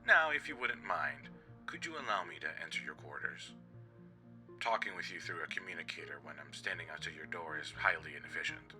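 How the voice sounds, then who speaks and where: A man's voice: very deep voice, animated, brisk, sharp tone, soft background music, exaggerated voice. A man playing an earnest, caring alien spaceship captain in an audio roleplay, speaking in stiff, formal, precise sentences. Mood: earnest, polite. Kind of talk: scripted roleplay audio drama, addressing the listener.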